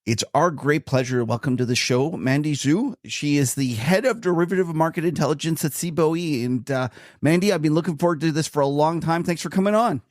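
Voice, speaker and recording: Chatty Canadian podcast co-host, dry sound. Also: male voice, on the high side, no echo. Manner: chatty, enthusiastic, quick to laugh. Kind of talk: casual podcast chat and interview.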